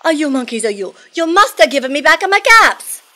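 An exasperated storybook cap seller, played by a woman, scolds in short, indignant bursts. His voice is pushed high and swings widely as his temper boils over. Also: wildly swooping pitch, quick pace, sharp tone, full character voice. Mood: angry. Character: exasperated male cap peddler scolding with rising fury.

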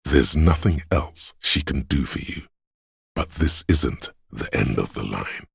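Bottomless, gravelly inner voice. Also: male voice, animated, dry recording, studio quality, heavily acted. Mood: solemn, ominous. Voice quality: very deep, gravelly, husky rasp. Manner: slow, ominous, grandiose, sardonic. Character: gravelly inner voice of a man's bodily endurance, brooding, grandiose. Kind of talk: video game voice acting.

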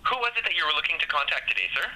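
A man's voice: on the high side, sing-song, racing, sharp tone, a little hiss or hum. A man working as a bank call agent, patient and polite, speaking over a telephone line. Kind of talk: customer service phone talk.

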